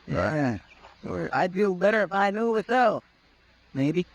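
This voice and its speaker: A folksy frontier sidekick. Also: male voice, high-pitched, big pitch swings, measured pace. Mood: easygoing. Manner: plain-spoken country talk. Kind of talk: 1950s radio drama acting. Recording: muffled old radio recording.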